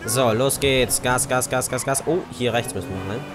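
A man's voice: medium pitch, normal speed, over loud music. A young man with an Austrian German accent giving casual, chatty commentary over his gameplay and cursing at other drivers. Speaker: let's play gamer. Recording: game car noise under voice.

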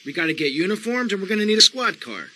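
An actor playing a tough, commanding, short-tempered gangster boss in a video game, speaking in a curt, bossy way. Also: male voice, high voice, big pitch swings, fast, pushed and bright, exaggerated voice, rough recording. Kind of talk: video game cutscene acting.

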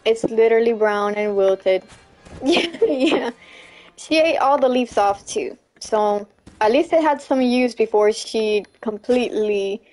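Young female streamer, slightly high voice, casual, chatty, quick to laugh. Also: darkish tone, low-quality recording. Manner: chatty, laughs often, repeats "you know what I'm saying". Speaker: livestreamer. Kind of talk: casual chat while gaming, reading chat.

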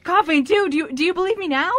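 A woman's voice: very high voice, quick pace, a bit bright, dry recording. A woman playing an earnest, flustered young man in an amateur radio drama, with a hesitant, stammering delivery. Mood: excited, reassuring.

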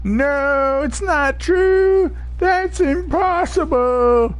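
Shrill, anguished young hero. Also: male voice, drawn-out words, heavily acted.

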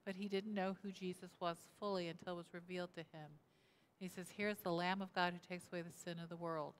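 Woman pastor in her sixties, smooth voice, interactive sermon at a lectern in a large echoing sanctuary. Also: lowish voice, fairly even intonation, fairly quick. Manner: asks the congregation questions and repeats their answers. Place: church sanctuary, indoors, at a lectern. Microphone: lectern mic, camera at mid distance. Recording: reverberant church hall.

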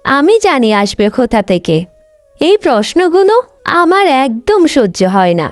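একজন মহিলা কার্টুন গল্পে অহংকারী, স্বার্থপর তরুণী বড় বোনের চরিত্রে কণ্ঠ দিচ্ছেন, কথায় বকাঝকা আর অভিযোগের সুর।. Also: female voice, high voice, sing-song, moderate pace, no echo. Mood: irritated, sulky. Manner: scolding, complaining. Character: vain, selfish, sharp-tongued young elder sister. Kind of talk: Bengali cartoon story voice acting.

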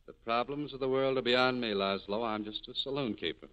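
An actor playing a cynical, guarded saloon keeper, terse and wry, in 1940s radio acting style. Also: male voice, mid-range voice, fairly quick, natural voice. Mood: guarded, firm. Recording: dull 1940s radio broadcast recording.

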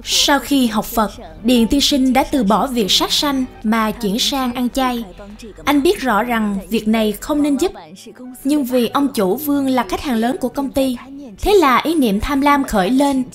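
High-pitched woman narrating a story. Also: unhurried. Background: soft music.

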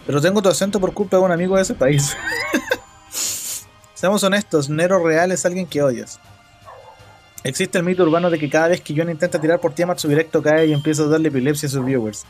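A man's voice: on the high side, a little mellow. A young man with a Chilean Spanish accent, chatting casually and joking as he reads chat messages during a game stream.

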